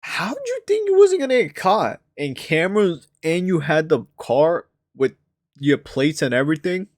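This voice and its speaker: A man streaming a casual reaction to a crime show, slangy and animated, his voice jumping up when he is outraged. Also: moderate pace, no echo, studio quality. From United States. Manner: slangy and animated, breaks into outraged high rises.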